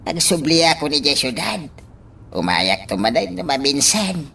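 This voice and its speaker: A man's voice: fairly high pitch, big pitch swings, moderate pace, creaky, pushed and bright, a little hiss or hum, full character voice. A man acting an exasperated, pleading character in an Ilocano radio drama.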